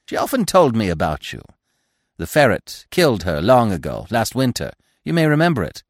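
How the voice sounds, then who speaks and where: A man voicing a chatty, fussy, excitable squirrel in a storybook, gushing and emphatic. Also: fairly low pitch, moderate pace, no room sound, studio quality, pitched above the performer's own voice, full character voice. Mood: chatty, sociable.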